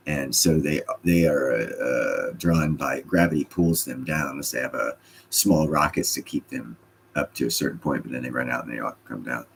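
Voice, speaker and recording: A man's voice: rumbling low, moderate pace, vocal fry, slight background noise. A man with a slight Scottish accent hosting a casual livestream, reading chat comments and joking with loose, profane banter.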